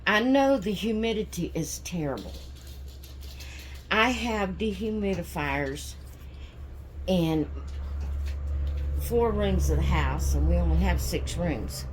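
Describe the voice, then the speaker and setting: A woman chatting casually in a home vlog, hoarse from sneezing, rambling with many fillers and restarts. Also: lowish voice, slight background noise, low-quality recording. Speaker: doll channel vlogger. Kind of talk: casual chat. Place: at home indoors.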